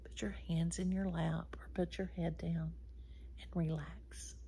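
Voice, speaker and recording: A woman in her sixties hosting a story time, reading aloud and talking directly to young children in an animated way. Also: on the low side, vocal fry, slight background noise. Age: 60s. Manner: animated, addressing children directly, drawn-out words and animal sounds. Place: small wood-paneled room indoors.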